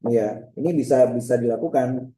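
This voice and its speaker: Male lecturer, very dark soft-edged tone, slight cough, repetitive step-by-step explaining, roomy echo. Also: mid-range voice, moderate pace. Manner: repetitive, checking with frequent short tags. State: bit of a cough, clearing throat. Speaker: lecturer teaching a software diagram class. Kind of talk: informal step-by-step screen demonstration lecture. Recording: echoey room sound.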